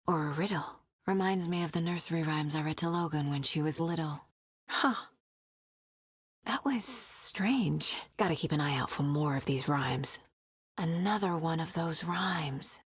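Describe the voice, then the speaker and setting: A woman's voice: low voice, measured pace, slightly rough, no room sound, studio quality. An actress playing a composed, inquisitive woman investigator in a video game, musing to herself in an understated way. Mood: curious, musing.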